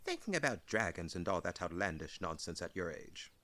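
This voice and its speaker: A man's voice: low voice, big pitch swings, a little fast, bright, forceful tone, full character voice. A man voicing a fussy, fretful middle-aged hobbit who protests and apologises, in a storybook reading. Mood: self-scolding.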